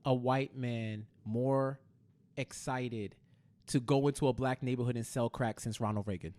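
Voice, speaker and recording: An American man co-hosting a casual, animated TV recap, talking in slangy, repetitive phrases and acting things out. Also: medium pitch, measured pace. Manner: acts out characters' lines in slangy speech, repeating phrases for emphasis. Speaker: podcast co-host.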